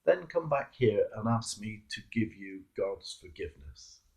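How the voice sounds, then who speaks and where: A man voicing a stern old priest in a sermon story, giving deliberate, commanding instructions. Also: fairly low pitch, sing-song, gravelly, voice pushed down, heavily acted. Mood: solemn command.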